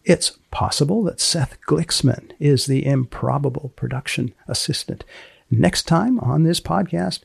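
A man hosting a podcast, asking questions and making announcements in a deadpan, dryly deliberate manner. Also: on the high side, creaky, husky, no echo. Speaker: podcast host and interviewer. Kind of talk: podcast interview and scripted announcements.

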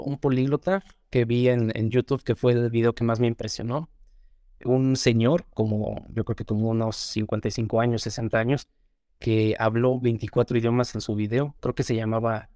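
A man's voice: medium pitch, mellow, dry recording, clean studio sound. A young Mexican man with a Mexican accent, a guest talking earnestly and motivationally in a casual interview, often repeating words as he gathers his thoughts. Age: young adult.

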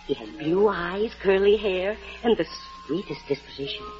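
An actress playing a kindly, fussy orphanage matron in a 1940s radio drama, fluttery, genteel and confiding. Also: female voice, fairly low pitch, lively intonation, moderate pace, natural voice. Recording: muffled vintage 1940s radio broadcast, faint music.

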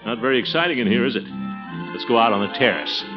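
A man's voice: mid-range voice, animated, fast, natural voice. Actor as swooping, jovial flirtatious middle-aged man. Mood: playful flirting. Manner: chuckling, swooping, theatrical. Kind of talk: old-time radio comedy acting. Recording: muffled vintage radio recording with music.

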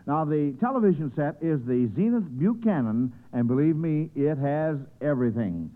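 An American man hosting an old-time radio quiz show in a folksy, hesitant, encouraging way, reading questions and sponsor messages. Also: fairly high pitch. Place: radio studio. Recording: tinny band-limited vintage radio broadcast.

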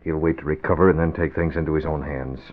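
A tinny old radio recording carries a low, composed male hero. He gives orders with measured authority, like a masked crime fighter in an adventure serial. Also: fairly even intonation. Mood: resolute. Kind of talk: 1940s radio adventure acting.

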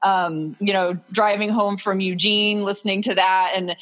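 A woman with a medium-pitched voice hosts a podcast in a casual, conversational style. She talks informally, as if swapping stories with friends. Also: steady tone, normal speed, a bit bright. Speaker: podcast host. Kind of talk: casual chat.

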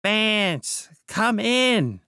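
A man's voice: sing-song, measured pace, bright, forceful tone, no room sound, studio quality. A man voicing a sinister, complacent little man in an audiobook story, precise and coolly commanding. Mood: commanding call. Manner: raised pitch, precise, complacent. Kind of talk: dramatic audiobook character voice.

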